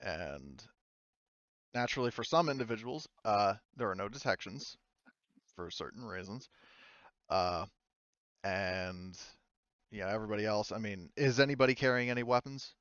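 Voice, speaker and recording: Low, smooth-voiced man running a game. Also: animated, a bit bright, no room sound, highs cut off. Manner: halting, rambling, casual. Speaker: game master. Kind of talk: improvised tabletop game narration.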